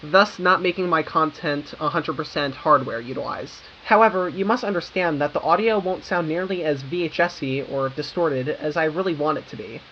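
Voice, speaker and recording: A woman's voice: low voice, moderate pace, hardly any pauses, dull audio, slight background noise. A young American woman narrating a scripted video essay with informal, wry, self-aware asides. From United States. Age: young adult. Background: background music.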